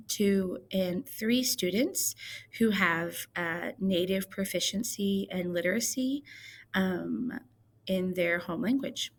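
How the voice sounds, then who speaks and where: Chatty woman teacher explaining a tool. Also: medium pitch. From Missouri, United States. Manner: chatty, explanatory, with frequent fillers. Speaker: ELL coordinator and teacher. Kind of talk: screen-recorded tutorial.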